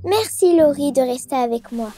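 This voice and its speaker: A woman's voice: high voice, expressive, moderate pace, dark tone. A woman voicing a timid, fearful little girl with a whimpering, dramatic manner in a French children's cartoon dub. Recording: music bed behind the voice.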